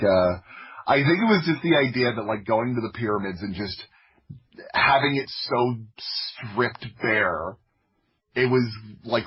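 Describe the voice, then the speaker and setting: Husky low-voiced American man. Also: dull audio. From Florida, United States. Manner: anecdotal, self-interrupting with frequent likes. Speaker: podcast guest, audio drama creator. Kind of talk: casual conversational storytelling.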